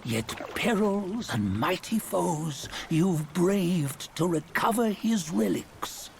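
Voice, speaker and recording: An actor playing a solemn, wise old mentor in a fantasy game, speaking in a grave, deliberate, formal and creaky way. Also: male voice, high voice, expressive, a little hiss or hum, exaggerated voice. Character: solemn, wise old male mentor guiding a chosen hero. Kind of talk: scripted fantasy game acting.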